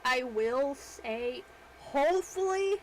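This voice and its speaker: Brief: a chatty, self-deprecating woman streamer with a fairly high voice, slow and easygoing, with faint background noise. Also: animated, rough recording. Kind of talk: casual livestream chat while working.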